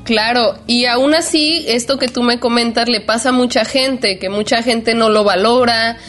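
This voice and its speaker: A woman's voice: fairly high pitch, moderate pace, fairly bright tone. A woman with a Mexican accent, conducting a conversational interview as a journalist.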